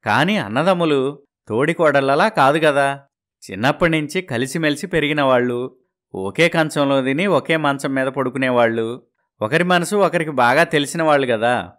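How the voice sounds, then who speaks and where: A man's voice: medium pitch, fairly bright tone, lightly acted. A man voicing earnest village men giving advice in a scripted cartoon moral story.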